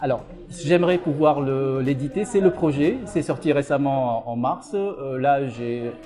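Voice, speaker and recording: A man's voice: medium pitch, moderate pace, music in the background. A middle-aged man from Algeria, a psychiatrist and author, answering interview questions with many fillers and restarts.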